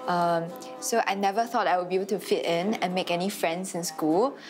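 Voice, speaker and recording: A young woman from China, a reality show contestant, speaking in casual chat with soft, hesitant fillers. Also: lowish voice, fairly quick, music in the background.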